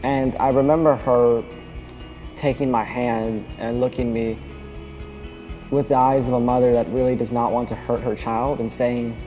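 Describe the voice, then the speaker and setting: Young man, from Alabama, earnest testimony, reflective, warm mid-pitched voice. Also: flat delivery, faint music behind, rough recording. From Alabama, United States. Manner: earnest, reflective, pausing to restart phrases. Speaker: speaker telling his own story. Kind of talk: personal testimony speech.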